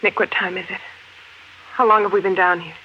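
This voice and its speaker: A woman's voice: medium pitch, fairly even intonation, fairly quick, a little hiss or hum, natural voice. Woman acting an anxious young heroine, emotional, breathless, middle register, muffled 1950s radio sound. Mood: uneasy. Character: frightened, anxious young woman trapped underground.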